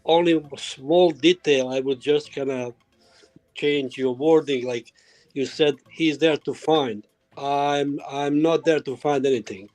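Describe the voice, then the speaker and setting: Man, fairly high voice, halting phrasing, home inspector guest. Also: darkish tone, rough recording. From former Yugoslavia. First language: Croatian. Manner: halting non-native phrasing. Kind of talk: casual interview.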